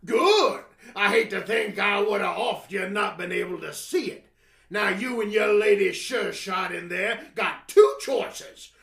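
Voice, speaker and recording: Cartoon Western gang leader: menacing fish bully, gravelly drawl, slow swaggering delivery. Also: male voice, sits high, sharp tone, heavily acted. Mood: taunting threat. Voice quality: gravelly, raspy. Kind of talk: cartoonish Western audio drama.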